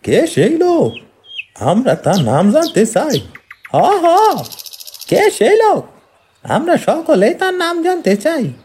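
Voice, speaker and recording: A woman voicing agitated villagers in a horror cartoon, excited and insistent. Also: sits high, slow, pauses often, slight background noise, exaggerated voice. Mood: agitated, demanding. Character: agitated villagers demanding an answer.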